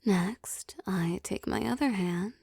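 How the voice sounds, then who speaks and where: A woman playing a possessive, doting, wealthy vampire boss in intimate roleplay audio, soft and teasing, often slipping into whispers. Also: mid-range voice, vocal fry, breathy edge, no echo, clean studio sound, heavily acted. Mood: seductive, teasing. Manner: slow, teasing, pampering, softly commanding.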